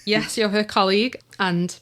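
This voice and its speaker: A woman's voice: medium pitch, steady tone, normal speed, a bit bright. A young woman, a writer talking about her audio drama as a guest in a casual interview, eager and full of "like" and "um" fillers.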